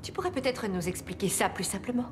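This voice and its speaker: A woman voicing a worried, determined mother in an animated series, demanding and questioning. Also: mid-range voice, quick pace, natural voice. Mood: worried, impatient. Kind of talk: dubbed animated series acting. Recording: echoey reverb with music behind.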